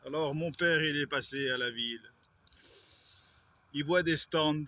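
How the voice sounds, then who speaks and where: A man's voice: on the high side, measured pace, bright, forceful tone, slight background noise. A man, vlogging in a rambling way, with hesitations and self-corrections. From Belgium. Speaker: YouTuber. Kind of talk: casual vlog monologue.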